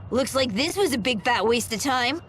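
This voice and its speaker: A woman voicing a childish, blunt talking plush doll in an anime game, squeaky and flippant. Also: animated, moderate pace, fairly bright tone, slight background noise. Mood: grumbling. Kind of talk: anime game dub acting. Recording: music behind.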